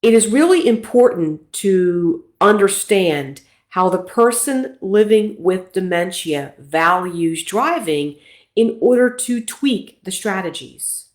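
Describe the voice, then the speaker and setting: A woman's voice: medium pitch, expressive, slow pace, roomy sound. A middle-aged woman from Philadelphia, a nurse practitioner hosting a casual livestream talk in a chatty, self-deprecating way.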